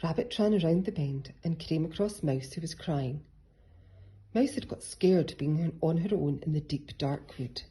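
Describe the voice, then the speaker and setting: A woman reads a children's story in a lowish, very husky, breathy voice. Her delivery is that of picture-book narration. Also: unhurried, mellow. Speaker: storybook reader.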